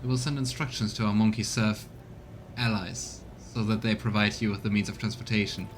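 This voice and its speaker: A man's voice: lowish voice, fairly even intonation, fairly quick, background noise, lightly acted. A man voicing a scornful, grieving alien elf farseer from a game, solemn, haughty and archaic in speech. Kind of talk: voiced reading of game dialogue. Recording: music behind the voice.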